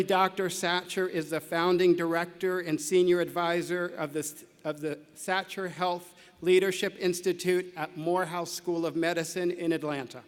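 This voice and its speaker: A man, a dean, reading a formal introduction of a keynote speaker at a commencement ceremony, with the occasional small slip. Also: on the high side. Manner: reads a prepared introduction, occasional small slips. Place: large indoor ceremony hall. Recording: long reverb of a large hall.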